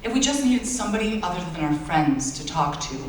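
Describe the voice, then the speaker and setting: A man's voice: high voice, very animated, fairly quick, a little hiss or hum. A young man in his late teens, a native Bulgarian speaker, giving an earnest, self-deprecating graduation speech as valedictorian in a large school auditorium. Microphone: far-off audience camera. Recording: echoey hall recorded from far off.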